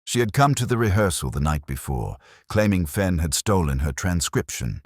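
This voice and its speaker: A man playing a melancholy, reflective police detective, narrating his case in solemn, deliberate literary phrasing. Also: sits low, very animated, no room sound, studio quality, exaggerated voice. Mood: brooding, measured. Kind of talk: scripted first-person detective story narration.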